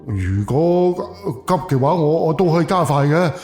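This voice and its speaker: Man acting halting young Cantonese shopkeeper, echoey room. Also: fairly high pitch, lively intonation. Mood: explaining. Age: young adult. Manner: hesitant, halting, with stammers and interjections. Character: young male puppet workshop owner, casual, easily startled. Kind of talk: audio drama acting. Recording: echoey room under loud music.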